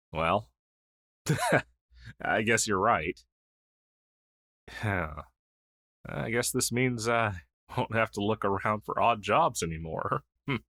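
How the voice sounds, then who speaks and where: A man's voice: fairly low pitch, pushed and bright, dry recording, studio quality, heavily acted. A man playing a friendly, shy crow familiar in an intimate fantasy audio roleplay, speaking in a hesitant, earnest, conversational way. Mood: surprised, unsure, then touched, grateful. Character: friendly, shy crow familiar, a masterless male magical helper. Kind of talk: intimate ASMR roleplay acting.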